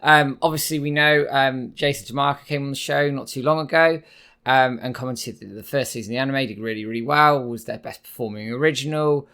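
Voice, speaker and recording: A hoarse man hosting a casual news commentary video, hesitant with many filler words. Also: mid-range voice, steady tone, fairly quick, pushed and bright. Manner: hesitant, many ums and sort-ofs. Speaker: host.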